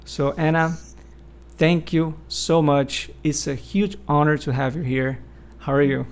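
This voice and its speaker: A man hosting an interview, politely introducing his guest and asking measured, confirming questions. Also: on the high side, steady tone, clear, resonant tone. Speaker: interview host.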